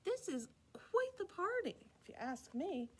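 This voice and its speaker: A woman hosting a children's storytime, reading a rhyming picture book aloud in an expressive, playful way with asides to young listeners. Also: very high voice, measured pace. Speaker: children's library storytime host.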